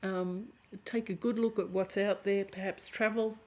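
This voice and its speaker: A woman giving a chatty tarot reading to camera, hoarse and often clearing her throat as her voice fades. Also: medium pitch, fairly even intonation, a little slow, a little mellow. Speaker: tarot reader.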